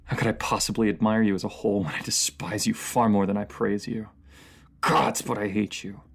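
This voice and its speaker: A man playing a proud, bitter captive ruler in a fantasy audio drama, speaking in formal, haughty, taunting phrases with a gravelly voice. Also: on the low side, steady tone, a little fast. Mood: defensive, grudging, then bitter, guarded. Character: proud captive enemy ruler, sardonic, bitter, secretly admiring.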